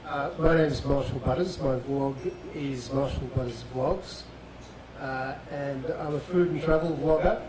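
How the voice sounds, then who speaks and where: Dry-humored man, slow. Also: medium pitch, fairly even intonation. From Australia. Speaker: food and travel vlogger. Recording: distant in an echoey hall, crowd.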